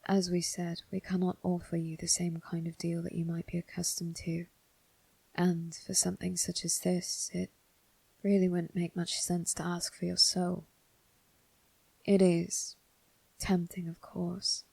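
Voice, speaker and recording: Woman as a breathy, low-voiced sly demon servant. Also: monotone, measured pace, warm tone, dry recording, exaggerated voice. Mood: sly, tempting. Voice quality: breathy, whispery. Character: demon triplets disguised as servants, sly, predatory, sadistic. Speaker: voice actor. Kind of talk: ASMR roleplay, scripted.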